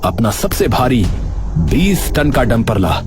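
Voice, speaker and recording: A man voicing a greedy, menacing village politician in an animated story, with a commanding, scheming manner. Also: mid-range voice, takes it slowly, music in the background. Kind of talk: animated Hindi story voice acting.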